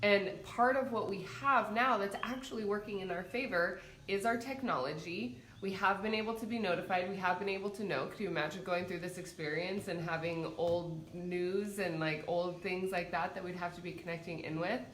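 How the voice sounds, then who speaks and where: Soft-spoken psychic lady, bit stuffy from a cold, echoey room, faint hum behind. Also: on the low side, moderate pace, hardly any pauses. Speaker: psychic and meditation host. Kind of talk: casual livestream talk.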